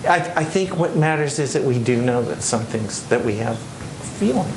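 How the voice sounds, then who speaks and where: A man's voice: mid-range voice, expressive, moderate pace, gravelly. A middle-aged man, a composer speaking at a panel, telling anecdotes with frequent restarts in a casual way. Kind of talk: casual talk answering audience questions. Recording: echoey room with noise.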